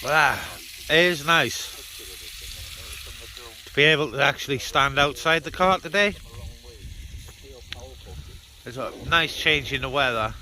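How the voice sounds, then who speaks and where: Bright, forceful man chatting casually outdoors. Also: fairly high pitch, normal speed, pauses often, rough recording. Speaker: vlogger out with CB radio. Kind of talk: casual vlog chat. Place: outdoors beside a parked car.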